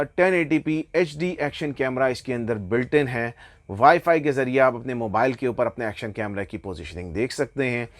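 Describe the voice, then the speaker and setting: A man with a Pakistani accent gives a casual product review and sales pitch, explaining enthusiastically and often slipping into English phrases. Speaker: online motorbike gear seller presenting products.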